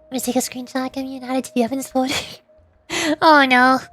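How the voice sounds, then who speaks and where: A woman's voice: high voice, steady tone, fairly quick, fairly bright tone. A woman streamer chatting casually in a playful, teasing way during a livestream. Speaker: VTuber streamer. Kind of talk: casual livestream chat while voice acting game dialogue. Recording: background music. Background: music.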